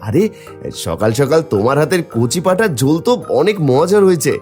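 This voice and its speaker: A man voicing a shrewd, watchful village farmer in a cartoon, expressive and folksy. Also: fairly high pitch, a little fast, faint music behind. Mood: pleased. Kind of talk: cartoon voice acting.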